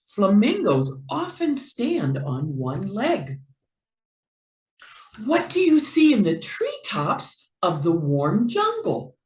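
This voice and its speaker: A woman reading a picture book aloud to young children in an expressive, deliberate, playful way, as a teacher would. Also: mid-range voice, roomy sound. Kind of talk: slow animated read-aloud for young children.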